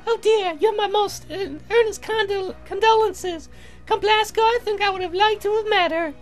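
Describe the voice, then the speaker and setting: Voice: extremely high pitch, fairly even intonation, moderate pace, soft background music, voice pushed up. A woman voicing a gracious, protective old sea captain's wife, polite and old-fashioned, for a visual novel game. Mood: sympathetic.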